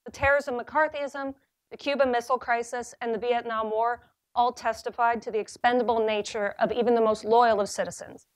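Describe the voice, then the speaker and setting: A young woman gives a short graduate thesis talk to a seated audience, her voice fairly high and recorded dry and close. She speaks in a measured, earnest way, pausing for weight. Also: dry recording. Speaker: graduate student presenter. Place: library seminar room with audience.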